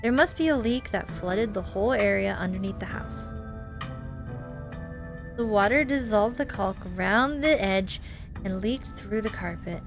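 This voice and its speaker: A woman reading a visual novel aloud as a gaming host, in an expressive, theatrical way. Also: mid-range voice, unhurried, creaky. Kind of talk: playthrough reading, narrating and voicing visual novel dialogue with reactions. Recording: music behind the voice. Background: game music.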